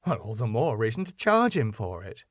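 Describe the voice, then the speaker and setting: A man's voice: medium pitch, big pitch swings, moderate pace, no room sound, pitched below the performer's own voice. A man voicing a dry, sceptical, sardonic wife of a club owner in a sitcom script reading. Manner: sardonic, questioning.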